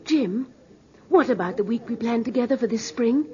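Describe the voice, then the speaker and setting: High-voiced, yearning young sweetheart played by a woman. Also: expressive, normal speed, darkish tone, natural voice. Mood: hopeful, dreamy. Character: devoted, yearning young woman in love, dreamy and earnest. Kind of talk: 1950s radio drama acting. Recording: dull, noisy 1950s radio recording.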